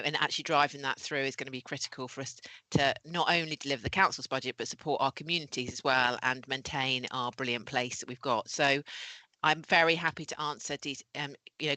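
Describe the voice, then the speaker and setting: A woman with a slightly croaky voice giving earnest, formal remarks at a council meeting. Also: a bass voice, sharp tone, dry recording, rough recording. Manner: earnest, corporate phrasing.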